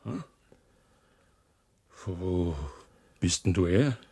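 An actor playing a gruff Silesian factory foreman with a soft side, speaking thick, folksy German dialect in a radio drama. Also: deep voice, expressive, very slow, full character voice. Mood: gentle, confiding.

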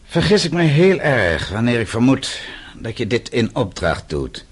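An actor in a radio play voicing a bitter, defiant exiled banker who speaks with scornful, accusing emphasis. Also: male voice, medium pitch, big pitch swings, measured pace, husky. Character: defiant, bitter exiled male banker, suspected political dissident.

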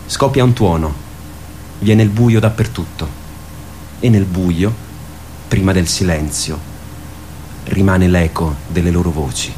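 A man's voice: low-pitched, a little slow, long pauses, darkish tone, a little hiss or hum. A man delivering theatrical scripted narration as the narrator of a radio play.

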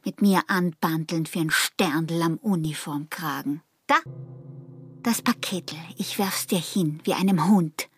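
Husky, taunting young Austrian peasant wife. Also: female voice, low voice, measured pace. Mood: scornful. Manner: mocking, provocative. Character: young defiant, teasing peasant wife, sensual and scornful. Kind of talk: radio drama acting in rural dialect.

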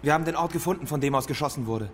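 An actor playing a keen police investigator reporting briskly to his chief in a German radio crime drama. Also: a little high, very noisy, lightly acted. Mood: factual.

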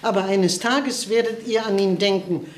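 An actress in a radio play voicing a small, outspoken elderly aunt who scolds bluntly. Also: female voice, medium pitch, normal speed, echoey room, slight background noise, natural voice. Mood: scolding.

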